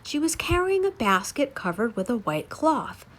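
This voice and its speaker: A woman reading spooky folk tales aloud as a story time reader, with dramatic, deliberate delivery. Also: fairly high pitch, big pitch swings, a bit bright, slight background noise.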